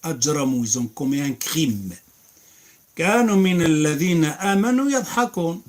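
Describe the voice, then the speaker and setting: A man's voice: on the high side, lively intonation, a little slow, darkish tone. A man preaching and answering questions, emphatic, breaking into recited Arabic verses. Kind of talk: religious Q&A.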